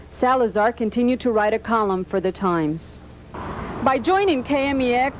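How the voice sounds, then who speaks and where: A woman narrating a documentary script in a steady, measured reading. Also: a little high, slight background noise, rough recording.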